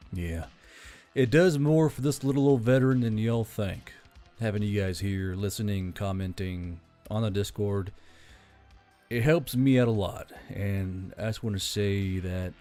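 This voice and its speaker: A man's voice: on the low side, measured pace, mellow, soft background music. A man speaking as a channel host in a casual, rambling, earnest address to his listeners. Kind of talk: casual unscripted sign-off to listeners.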